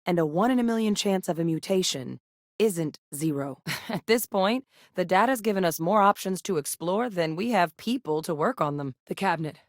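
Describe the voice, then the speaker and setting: Woman doing a steady game scientist, earnest, lowish voice, dry studio sound. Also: normal speed, no room sound, close to an everyday voice. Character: capable female scientist companion, steady and earnest. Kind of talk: video game voice lines.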